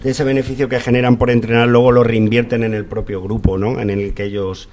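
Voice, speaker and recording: A man's voice: medium pitch, flat delivery, fairly quick, darkish tone. A man with an accent from Spain speaking off the cuff as a debate guest, explaining and often checking for agreement. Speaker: youth football school representative, debate guest. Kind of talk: spontaneous panel debate.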